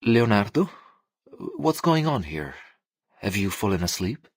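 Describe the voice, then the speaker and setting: A man voicing a sinister, falsely gentle priest in a game, pious and menacing. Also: on the low side, big pitch swings, a little slow, husky, no echo, clean studio sound, full character voice. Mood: smug menace. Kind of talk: game voice acting.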